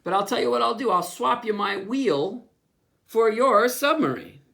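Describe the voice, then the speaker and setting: A man's voice: sits high, expressive, moderate pace, gravelly, pushed and bright, voice pushed up, full character voice. A man reading aloud and voicing a confident young boy who talks bluntly as he bargains. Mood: bold. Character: bold young boy keen to swap toys.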